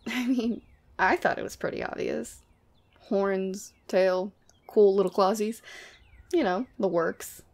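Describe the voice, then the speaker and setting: Demon girlfriend played by a woman, ASMR audio drama: sweet but teasing, casual and playfully exasperated, very creaky delivery. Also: mid-range voice, takes it slowly, a little mellow. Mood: teasing, caring.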